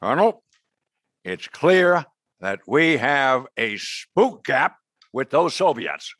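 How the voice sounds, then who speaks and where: A flustered, over-eager intelligence official in a radio play. The husky, mid-pitched voice swings about with stammering eagerness, recorded close and dry. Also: male voice, big pitch swings, slow, fairly bright tone, no room sound, exaggerated voice. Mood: eager to please. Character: flustered, eager-to-please male intelligence deputy director. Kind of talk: comedic radio play acting.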